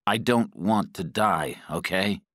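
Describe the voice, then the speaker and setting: A man's voice: low voice, takes it slowly, fairly bright tone, no echo, clean studio sound, exaggerated voice. A man voicing a terrified male captive who pleads with his tormentor in an audiobook. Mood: frightened, pleading. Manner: pleading, frightened. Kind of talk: audiobook character dialogue.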